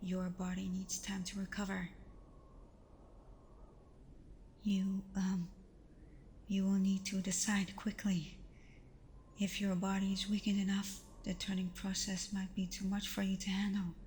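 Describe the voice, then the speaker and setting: A woman playing a tender, protective vampire girlfriend in an intimate ASMR roleplay, speaking softly and breathily with a hesitant, reassuring manner. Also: mid-range voice, completely flat delivery, moderate pace, slight background noise, natural voice. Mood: grave, gentle. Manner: soft, breathy, hesitant, reassuring, often dropping toward a whisper. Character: tender, protective young female vampire girlfriend, secretive and self-doubting.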